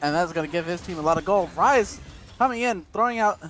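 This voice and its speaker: High-pitched breathless esports caster man. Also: animated, a bit bright, slight background noise. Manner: rapid breathless calls, tripping over words. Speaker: play-by-play caster. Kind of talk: esports play-by-play commentary.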